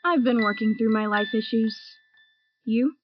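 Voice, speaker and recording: A woman's voice: fairly high pitch, a little slow, highs cut off, over music, natural voice. A woman playing a breezy mystery girl. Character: mysterious girl texting, friendly, casual.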